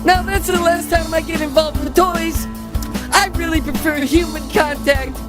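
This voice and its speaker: A woman's voice: very high-pitched, steady tone, moderate pace. A woman voicing a haughty cartoon superhero in a pompous, declamatory manner. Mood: haughty, triumphant. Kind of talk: cartoon acting. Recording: voice under loud music.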